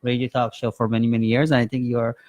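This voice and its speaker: A man from Guyana hosts a radio talk show in a studio. He talks in a friendly, rambling way with lots of ums. The sound is close and dry, with a mid-range pitch. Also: little pitch movement, fast, darkish tone, no echo. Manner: friendly, filler-heavy, rambling. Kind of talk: casual chat.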